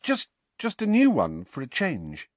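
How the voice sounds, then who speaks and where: A man performs an awkward storybook lad in his teens. The delivery is hesitant yet excitable, jumping up in pitch and very animated. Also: unhurried, no room sound. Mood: hopeful. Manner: hesitant, muttering. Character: awkward, excitable teenage boy, easily embarrassed.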